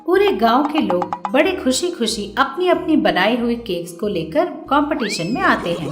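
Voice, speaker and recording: A woman's voice: a little high, very animated, measured pace, roomy sound, soft background music. A woman narrating a scripted children's moral story as the storyteller.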